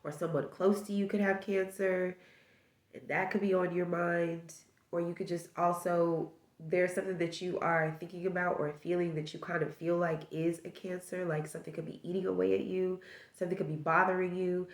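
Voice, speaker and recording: A woman's voice: lowish voice, flat delivery, normal speed, echoey room. A woman with a stuffed-up nose giving a casual tarot reading, musing aloud and rambling through possibilities. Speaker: tarot reader.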